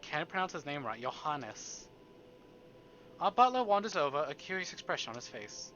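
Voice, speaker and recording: A man's voice: fairly high pitch, big pitch swings, normal speed, pushed and bright, highs cut off, slight background noise. A man live streaming, reading a story aloud and adding playful, exclamatory asides to his chat. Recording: music behind the voice.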